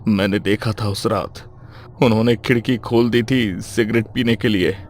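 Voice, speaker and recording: A man playing an ominous, superstitious mortuary attendant in a cartoon horror story, with a hushed, grave manner. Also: lowish voice, steady tone, moderate pace, lightly acted. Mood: grave warning.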